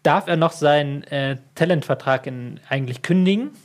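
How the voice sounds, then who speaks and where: A man's voice: on the high side, normal speed. A man co-hosting a casual football podcast, speaking hesitantly with many fillers and freely admitting what he does not know. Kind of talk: casual chat.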